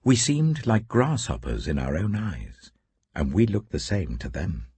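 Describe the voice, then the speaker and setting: A man's voice: deep voice, sing-song, a little slow, a little mellow, breathy edge, no room sound, exaggerated voice. A British man voicing fearful, grumbling ancient Israelite men in a dramatic, emphatic audiobook reading. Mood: fearful, warning.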